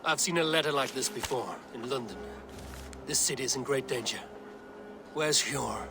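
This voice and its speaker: An actor playing a stern, blunt male Viking warrior in a game cutscene, speaking in a steady, measured way. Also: medium pitch, vocal fry, noisy background, natural voice. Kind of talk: video game cutscene acting.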